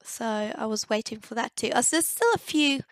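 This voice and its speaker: Young woman, higher voice, dry close sound, slight croak; hesitant casual commentary. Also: a little fast, no echo. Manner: hesitant, searching for words, many fillers. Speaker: game builder. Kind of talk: casual voice-over commentary on a game build.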